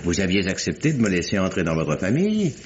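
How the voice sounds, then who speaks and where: Sinister haughty count in an old radio play, cold blackmailer, voice swoops around, dull lofi sound. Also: male voice, low-pitched, wildly swooping pitch, a little hiss or hum. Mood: smooth menace. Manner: haughty, theatrically deliberate, formal. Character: menacing aristocratic count, a cold blackmailing suitor. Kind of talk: old French radio drama acting. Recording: dull lo-fi vintage radio recording.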